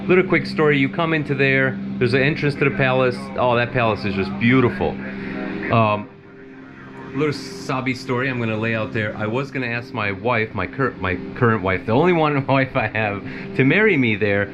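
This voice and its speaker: A man's voice: mid-range voice, moderate pace, music in the background. Chatty Romanian-born man streaming a flight sim. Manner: chatty, rambling, laughs often, talks to chat. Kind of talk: casual live-stream commentary.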